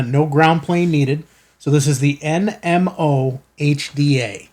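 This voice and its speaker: Higher-pitched man, casual unboxing host. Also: a little mellow. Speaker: host of a ham radio channel. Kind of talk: casual product unboxing talk to viewers. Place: studio.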